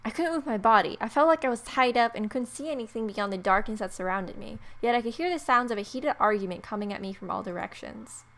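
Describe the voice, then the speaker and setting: A woman's voice: on the high side, lively intonation, fast talker, few pauses, a bit bright. A woman hosting a let's play, reading game narration expressively and adding playful, wry casual asides. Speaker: gamer, video host.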